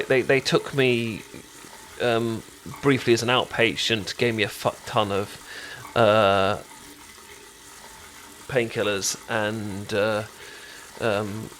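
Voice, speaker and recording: Hesitant British best friend, acted by a man, kitchen noise. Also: mid-range voice. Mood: candid, rueful. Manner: hesitant, halting, stammering, gently explaining. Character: caring, chatty male best friend teaching sushi making. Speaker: ASMR roleplay performer. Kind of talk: intimate ASMR boyfriend roleplay, acted as casual chat.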